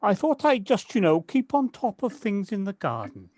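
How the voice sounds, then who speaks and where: An actor playing a kindly, modest gardener in an audio drama, hesitant and polite with slight stammers. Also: male voice, high-pitched, huge pitch swings, normal speed, darkish tone, dry recording, full character voice. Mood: polite, flustered. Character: kindly, hesitant village gardener, Alan, modest and nature-loving. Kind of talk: amateur audio drama acting.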